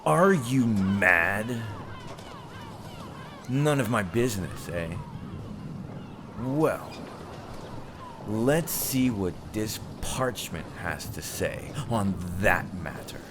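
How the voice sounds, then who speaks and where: A deep-voiced, ruthless pirate captain, acted by a man in an audio roleplay. He speaks slowly and theatrically, with big swings in pitch, mock-gracious and menacing as he taunts his captive. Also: animated, noisy background. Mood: sneering, suspicious. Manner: theatrical, taunting, drawn-out delivery. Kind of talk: scripted ASMR roleplay acting.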